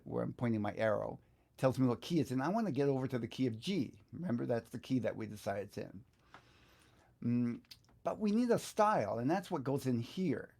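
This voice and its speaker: A man's voice: mid-range voice, creaky, a little mellow. A middle-aged man hosting an informal step-by-step lesson, thinking aloud in a conversational way as he instructs. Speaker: guitar instructor, video host. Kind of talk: informal step-by-step screen tutorial.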